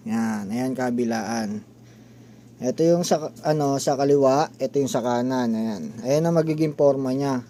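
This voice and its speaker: A man's voice: medium pitch, measured pace, dark tone, slight background noise. A man giving a casual how-to tutorial as a vlogger, pointing things out step by step with short interjections. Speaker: DIY vlogger.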